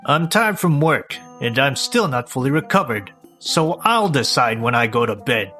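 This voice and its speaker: An actor playing a selfish, lazy young husband in a manga dub, whiny, smug and dismissive. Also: male voice, mid-range voice, very animated, normal speed, pushed and bright, faint music behind. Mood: lazy, self-centred.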